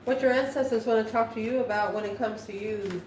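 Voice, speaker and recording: A woman giving an improvised spiritual tarot reading to viewers, musing in a halting way and posing questions to herself. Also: fairly high pitch, steady tone, darkish tone. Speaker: tarot card reader, channel host. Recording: echoey room, distant mic, faint noise.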